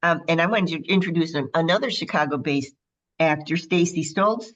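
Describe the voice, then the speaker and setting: A woman's voice: low-pitched, moderate pace, muffled recording. Chatty Midwestern woman radio host. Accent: Midwestern American. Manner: chatty, bubbly introductions with frequent fillers. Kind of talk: casual radio interview.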